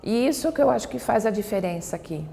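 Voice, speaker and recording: A woman's voice: a little high, lively intonation, fast talker, vocal fry, dark tone. A Brazilian woman with a São Paulo accent, talking conversationally in a documentary interview. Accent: São Paulo Brazilian Portuguese. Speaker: local resident interviewee. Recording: long reverberant hall, faint background noise.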